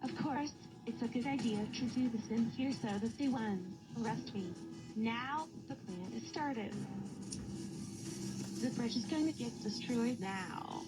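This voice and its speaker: Woman as scheming cartoon girl villain, higher voice, loud music. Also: measured pace, lightly acted, poor audio. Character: gleeful young cartoon girl villain plotting bridge destruction. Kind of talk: animated fan video dialogue.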